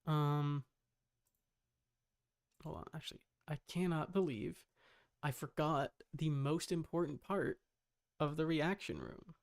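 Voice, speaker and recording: Casual livestreamer, very creaky lower-pitched voice, scattered muttering while setting things up. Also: lively intonation, takes it slowly, long pauses, darkish tone. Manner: muttering, scattered, self-interrupting. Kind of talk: casual livestream chat, talking to self.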